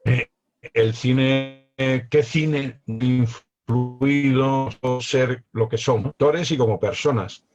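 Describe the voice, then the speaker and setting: An unhurried man in his sixties with a Spanish accent from Spain, thinking aloud on a panel with frequent pauses and restarts. His voice sits at a middling pitch and comes through a somewhat dull, lo-fi video call line. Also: darkish tone, faint music behind. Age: 60s. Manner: slow, reflective, hesitant with many pauses. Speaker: poet guest. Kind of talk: panel discussion.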